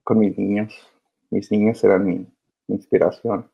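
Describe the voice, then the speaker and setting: Low, creaky man, hesitant. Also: flat delivery, normal speed. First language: Spanish. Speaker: interview guest, migrant children's advocate. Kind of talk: casual, earnest interview answers. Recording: slightly dull, lo-fi sound.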